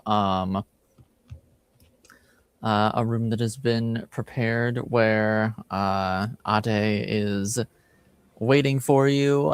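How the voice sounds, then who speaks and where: A man improvising narration as game master in a tabletop roleplaying game, pausing often. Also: on the low side, clean tone, no room sound.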